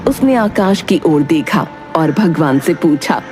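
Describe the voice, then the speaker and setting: A woman's voice: low voice, animated, slow pace, music in the background. A woman narrating a scripted moral story for children as a storyteller.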